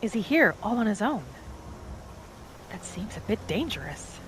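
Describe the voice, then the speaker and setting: A woman playing a gentle, homesick wood elf wanderer who thinks aloud softly in a first-person video game roleplay. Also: mid-range voice, big pitch swings, normal speed, background noise. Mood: musing, curious. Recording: music and rain behind the voice. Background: game music and rain.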